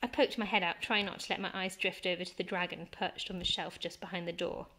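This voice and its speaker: A woman reading a children's storybook aloud as a teacher, with animated, dramatic emphasis. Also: fairly low pitch, fast. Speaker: primary school teacher reading story time.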